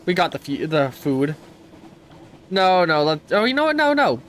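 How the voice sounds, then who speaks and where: A man's voice: high voice, sing-song, normal speed, slight background noise. Stuffy-nosed man reading game text. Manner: stumbling over words while reading, bursts of playful trash talk. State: stuffy nose. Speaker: let's play streamer. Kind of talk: casual gameplay commentary, reading game text aloud. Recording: faint game music behind the voice.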